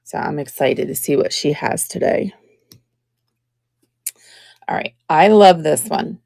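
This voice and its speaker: Slow, gravelly-voiced woman host. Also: deep voice, no echo, studio quality. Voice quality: scratchy. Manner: slow, chatty, drops to soft whispers while showing pieces. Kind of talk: casual live-stream selling chat.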